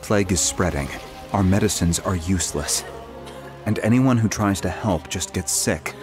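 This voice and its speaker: An actor playing a grave, weary monk in a video game, speaking solemnly. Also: male voice, low voice, normal speed, lightly acted. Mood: grave, pleading. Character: grave male monk tending a plague-stricken monastery. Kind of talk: video game character acting. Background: music.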